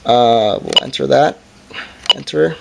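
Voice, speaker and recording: A man's voice: medium pitch, slow pace, gravelly, poor audio. Hesitant man giving a casual product review. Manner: hesitant, apologetic, informal. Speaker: product reviewer presenting a weather radio. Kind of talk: casual hands-on review demonstration.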